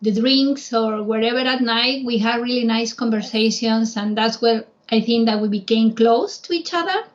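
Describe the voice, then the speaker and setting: A woman from Spain speaks English with a clear Spanish accent in a fairly high voice. She tells her stories in a chatty, hesitant way, full of small fillers. The recording sounds muffled and low-fidelity. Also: moderate pace. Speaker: guest, travel guide. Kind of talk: casual conversation.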